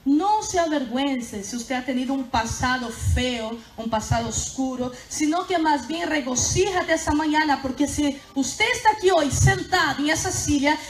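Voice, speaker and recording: A woman whose first language is Portuguese, preaching a sermon with emphatic bursts of fervor. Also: high-pitched. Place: church hall. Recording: echoey church hall.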